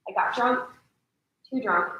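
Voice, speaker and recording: A young actress in a staged reading playing a shaken, apologetic woman confiding in friends, speaking haltingly. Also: a little high, bright, forceful tone, lo-fi sound. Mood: hesitant, shaken. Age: young adult. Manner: halting, hesitant. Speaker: actor. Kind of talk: staged play reading, naturalistic drama. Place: large echoey indoor room. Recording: echoey hall, distant microphone.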